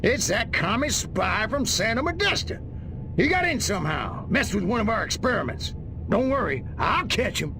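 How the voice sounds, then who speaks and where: Game general: defiant blusterer, very high voice, animated swings, music behind. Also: male voice, normal speed. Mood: defensive. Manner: blustering, defensive. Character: stubborn, defiant male army general, blustering. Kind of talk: video game cutscene acting.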